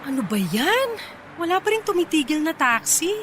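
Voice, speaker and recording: High-pitched exasperated lady boss. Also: moderate pace, background noise. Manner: exasperated, expressive, mixes in English phrases. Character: proud, irritable workaholic female office boss, softly shy. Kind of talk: Tagalog radio drama acting.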